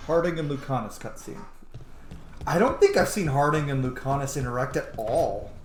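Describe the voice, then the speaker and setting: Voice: medium pitch, expressive, normal speed, reverberant, slight background noise. A gaming host giving casual, chatty, reactive let's-play commentary. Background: video game music.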